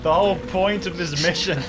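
A man speaking casually as a player in an improvised tabletop game, with joking asides. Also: high voice.

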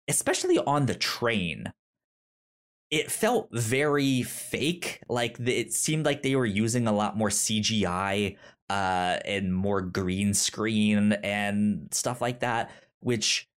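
A man co-hosting a casual film discussion, thinking aloud haltingly and often repeating words as he works toward his point. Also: fairly low pitch. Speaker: podcast co-host.

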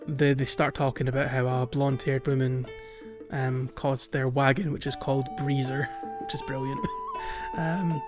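A man's voice: medium pitch, lo-fi sound. A man chatting casually and wryly as he hosts a video game podcast. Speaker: podcast host.

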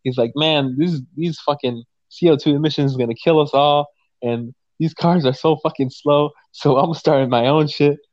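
A man's voice: fairly high pitch, moderate pace, darkish tone, no echo, muffled recording. Profane, fired-up man in his twenties. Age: 20s. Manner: profane, emphatic, repeats "you know what I'm saying". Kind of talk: casual conversation.

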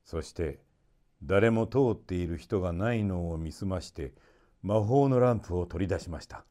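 A man narrating a children's story expressively, in a polite and measured storytelling manner. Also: low-pitched, mellow.

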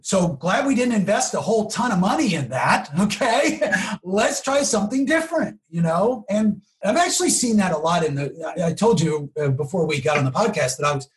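A man explaining things conversationally as an interview guest, emphatic and often checking in with a quick "okay?" or "right?". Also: sits high, lively intonation. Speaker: podcast guest, marketing professional.

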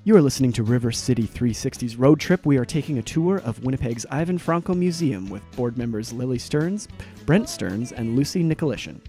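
A male radio host delivers a scripted announcement at a quick clip. His voice is mellow and dark, with quiet guitar music playing behind him. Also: mid-range voice, lively intonation. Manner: brisk, announcing.

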